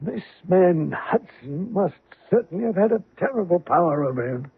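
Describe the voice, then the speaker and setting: An actor playing a fussy, easily astonished older gentleman sidekick with a hesitant, blustering delivery in a radio drama. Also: sits high, animated, normal speed, slightly rough, heavily acted. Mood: somber. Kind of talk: old-time radio drama acting. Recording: muffled narrow-band old radio recording.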